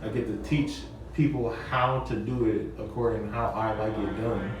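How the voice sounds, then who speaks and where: A low-voiced man talks easily at a party mixer, circling back over his points as he explains. He stands back from the mic, and the room rings with heavy echo over the steady chatter of the crowd. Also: normal speed, far from the mic. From San Diego area. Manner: relaxed, repeats phrases, explains patiently. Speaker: interviewee, media production teacher. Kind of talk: casual interview chat. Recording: echoey event room, off-mic, noisy.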